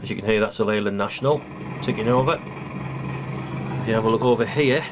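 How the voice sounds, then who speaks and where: A man's voice: lowish voice, moderate pace. A British man casually narrating a hands-on hobby demonstration as he presents his model railway build. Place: indoor hobby workbench. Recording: noisy room recording with hum.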